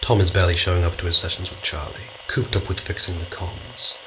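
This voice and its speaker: A low-voiced man acting as a space mission commander, weary and strained but used to being obeyed. He speaks tersely in a sci-fi audio drama, over clear background noise. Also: lo-fi sound, lightly acted. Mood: weary, subdued. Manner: terse, authoritative, halting when reflective.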